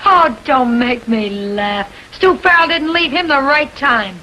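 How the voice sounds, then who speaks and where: An actress playing a sharp-tongued, hot-tempered woman in a 1940s American TV crime drama, brassy, slangy and combative. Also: high-pitched, lively intonation, a little slow, full character voice. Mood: defiant. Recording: noisy, dull 1940s film soundtrack.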